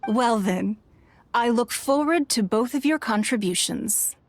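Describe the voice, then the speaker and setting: A woman voicing a composed, authoritative noblewoman official in a video game, speaking in a measured, formal way. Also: a little high, expressive, faint music behind. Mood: gracious, formal. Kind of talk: formal speech, game acting.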